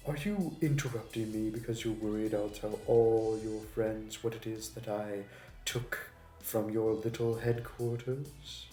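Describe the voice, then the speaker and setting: A man's voice: fairly low pitch, fairly even intonation, warm tone. A man playing a suave, smug supervillain in an audio roleplay, with a mocking, flirty, theatrical delivery. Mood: smug, taunting. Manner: theatrical, taunting, drawn-out delivery. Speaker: voice actor. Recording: roomy echo, distant mic, music behind.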